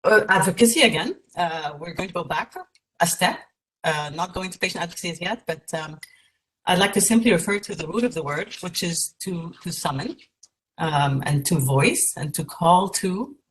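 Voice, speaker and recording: A woman from Egypt gives a keynote lecture over a video call. Her voice sits fairly low, and she speaks in a measured way, pausing on fillers and restarts. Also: low-quality recording. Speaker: keynote speaker, patient advocate.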